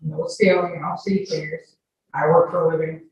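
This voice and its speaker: A woman's voice: low voice, fairly even intonation, moderate pace, darkish tone, highs cut off. A woman answering questions as a witness in court, emphatic and repeating her denials. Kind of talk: answering questions under examination. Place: indoor courtroom. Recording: echoey, distant mic, faint noise.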